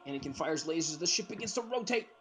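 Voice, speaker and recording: A man's voice: a little high, lively intonation, fast talker, a bit bright, soft background music. A man reading screenplay directions aloud as the narrator of a group script reading.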